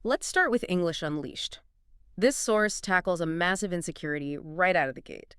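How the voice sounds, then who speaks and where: A woman's voice: lowish voice, moderate pace, a bit bright, clean tone, dry recording, clean studio sound. A woman co-hosting a podcast in an eager, scripted conversational style, leading each segment. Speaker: podcast co-host.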